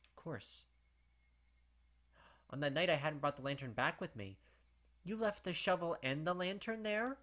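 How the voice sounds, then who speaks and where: A young man reading a visual novel aloud in a dramatic first-person voice, breaking off for excited asides and commentary as a let's play gamer. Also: high-pitched.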